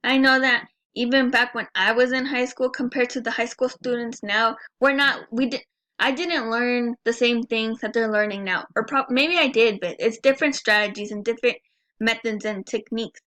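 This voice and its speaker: A woman's voice: on the high side, steady tone, moderate pace, a bit bright. A woman in her early twenties whose first language is Spanish, giving an earnest class presentation and often restarting and rephrasing as she shares her own view. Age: early 20s. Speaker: student presenter.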